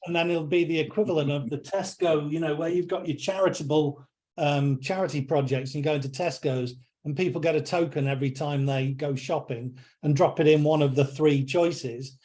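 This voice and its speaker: A man's voice: fairly high pitch, fairly even intonation, fairly quick, a little mellow. Chatty man on a video call. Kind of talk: casual video call chat.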